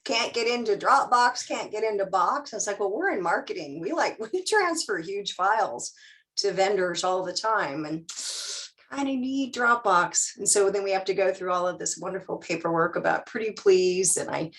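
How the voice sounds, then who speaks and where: A woman's voice: mid-range voice, lively intonation, moderate pace, a bit bright. Middle-aged woman guest speaker, chatty and self-deprecating, heard over a video call. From California. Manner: chatty, self-deprecating, many fillers and restarts. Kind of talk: casual chat then presentation on Zoom.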